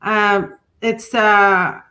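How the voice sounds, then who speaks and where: Slow-talking woman. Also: mid-range voice, flat delivery, sharp tone. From Quebec, Canada. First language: French. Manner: slow, hesitant, frequent ums and restarts. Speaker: art teacher and coach. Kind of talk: interview answers.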